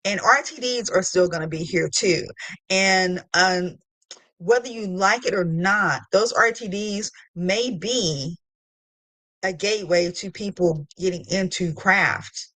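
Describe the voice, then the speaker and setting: A woman's voice: medium pitch, takes it slowly, fairly bright tone, no room sound, low-quality recording. Female podcast host, mid-range voice, casual and playful interviewer, very dry recording.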